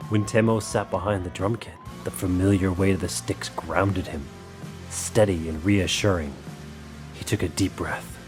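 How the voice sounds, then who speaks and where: A man reads scripted, dramatic story narration. His voice is low, and music plays beneath his words. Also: darkish tone. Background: music under the voice.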